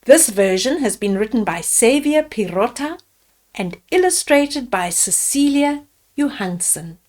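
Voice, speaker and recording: An older woman storyteller with a fairly high voice reads a children's fairy tale very slowly. She is deliberate in her delivery, pausing to explain things to her young listeners as she goes. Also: big pitch swings, darkish tone. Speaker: storyteller reading children's picture books. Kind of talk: read-aloud bedtime fairy tale with asides to children.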